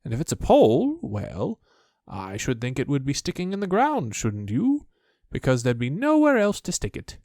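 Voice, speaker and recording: A man's voice: mid-range voice, wildly swooping pitch, moderate pace, dark tone, no echo, clean studio sound. A man doing Rabbit, a fussy bossy rabbit.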